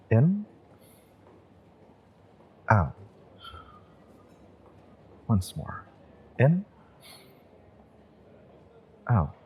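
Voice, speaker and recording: A man playing a kind, wistful witch and tarot shopkeeper in a roleplay audio, speaking to the listener in a gentle, encouraging, unhurried way. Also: fairly low pitch, a little hiss or hum, full character voice. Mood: solemn, calming.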